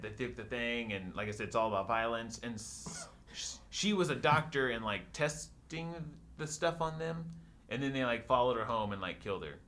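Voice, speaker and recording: A man's voice: medium pitch, sharp tone, a little hiss or hum. A man in a casual movie discussion, retelling plots in a rambling, informal way full of filler "likes". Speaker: podcast panelist.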